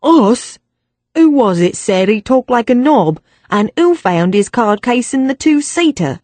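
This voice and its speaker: A woman voicing a rough male Cockney kidnapper who talks bluntly in slang. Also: on the high side, sing-song, measured pace, a little mellow, no echo, voice pushed up. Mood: gruff.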